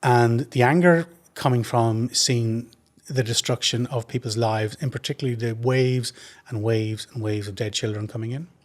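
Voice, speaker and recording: A man with an Irish accent, a trauma surgeon, answering interview questions in an earnest, measured way with frequent restarts. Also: mid-range voice, steady tone. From Ireland.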